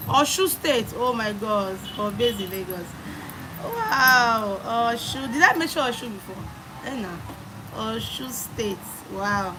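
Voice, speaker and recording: A woman's voice: on the high side, expressive, slow. A young adult woman with a Nigerian accent, live streaming and chatting with viewers, greeting them by name and repeating her phrases. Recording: loud background noise.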